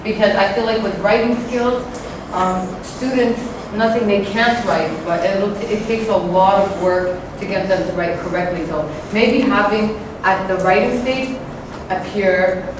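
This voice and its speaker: A woman in the audience of a workshop giving a thoughtful comment, pausing to search for words. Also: mid-range voice. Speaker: audience member. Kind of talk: spontaneous comment. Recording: echoey room, mic far off, noisy.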